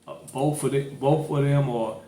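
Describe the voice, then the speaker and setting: A man's voice: medium pitch, steady tone, moderate pace, mellow. A man hosting a podcast, chatting casually with lots of fillers and restarts. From St. Louis, Missouri. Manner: rambling, many fillers and restarts. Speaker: podcast host. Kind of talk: casual political chat. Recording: echoey room.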